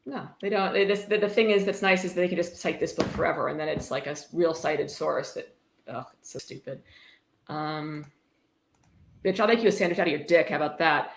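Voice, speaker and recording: A woman's voice: sits low, brisk, gravelly, slight background noise. A woman hosting a casual livestream, reading aloud and reacting in a blunt, exasperated, sweary way. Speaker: livestream host. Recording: roomy, echoey sound.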